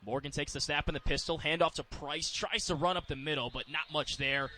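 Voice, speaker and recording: A man's voice: a little high, fairly even intonation, a little fast, bright, forceful tone. A man with an American accent giving live radio play-by-play of American football in clipped, descriptive phrases.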